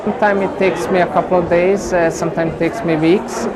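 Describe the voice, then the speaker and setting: A man's voice: high-pitched, steady tone, brisk, low-quality recording. A man from Hungary with a Hungarian accent, a painter explaining his work hesitantly, with many fillers as he searches for words. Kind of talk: interview about his paintings. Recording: loud crowd at an event.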